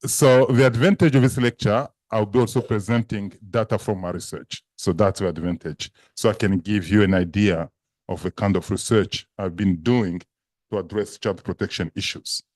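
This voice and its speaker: A lower-voiced man with a French accent gives a seminar lecture, spacing out his lists slowly and with emphasis. His big voice is recorded close and dry, with no room sound. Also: darkish tone, no echo. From Democratic Republic of Congo. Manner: slow, emphatic listing with a big voice. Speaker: guest lecturer.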